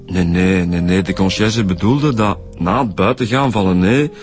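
An actor with a Flemish accent playing a calm, patient police detective in a radio drama. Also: male voice, deep voice, moderate pace, faint music behind, close to an everyday voice.

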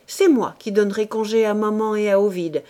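A woman reading an audiobook, voicing a brash, greedy woman who talks in a shrill, loud, giggly way. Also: steady tone, fairly quick, natural voice. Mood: gloating.

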